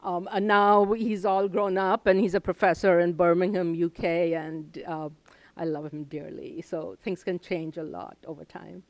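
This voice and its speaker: A woman's voice: fairly low pitch, steady tone, moderate pace, gravelly, darkish tone, dry recording, highs cut off. An older woman from India, a Hindi native speaker and professor, answering interview questions reflectively with many ums and uhs. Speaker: professor, guest.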